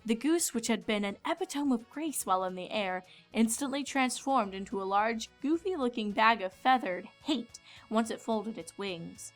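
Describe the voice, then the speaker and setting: A woman's voice: fairly high pitch, animated, moderate pace, hardly any pauses. A woman reading scripted storybook narration as the narrator. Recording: music behind the voice.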